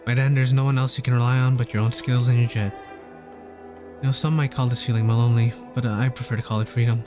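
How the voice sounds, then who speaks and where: A man's voice: medium pitch, a little mellow. A man livestreaming a game, commenting casually and reading aloud with hesitant stumbles.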